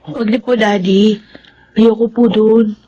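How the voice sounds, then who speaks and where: An actress playing a devoted, respectful young daughter in a Filipino radio drama, with a Filipino accent. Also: female voice, medium pitch, fairly even intonation, a little slow, warm tone, lo-fi sound, a little hiss or hum, natural voice. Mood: earnest refusal. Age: young adult. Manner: respectful, earnest. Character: devoted, earnest young Filipina daughter, student turned bride.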